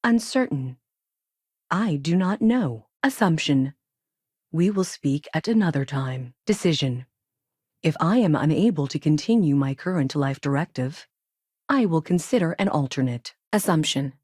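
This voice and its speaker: A woman voicing a calm, literal, childlike female artificial intelligence in a video game, speaking in stilted, deliberate, clipped statements with an emotionless delivery. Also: sits low, husky, no room sound, clean studio sound, full character voice. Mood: detached, analytical. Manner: stilted, deliberate, prefacing statements with one-word labels.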